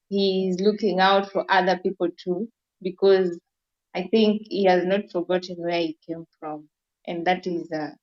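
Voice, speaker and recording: A woman from Kenya sharing her view in an online discussion, measured and hesitant with many uhs. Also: on the low side, muffled recording. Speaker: participant.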